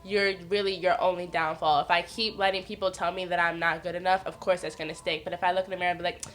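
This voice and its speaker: A woman's voice: fairly low pitch, level tone, quick pace, a bit bright, a little hiss or hum. Candid, laughing young woman storyteller. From northeastern United States. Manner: frank storytelling, laughs often, acts out others' words. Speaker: podcast guest. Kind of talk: candid casual chat.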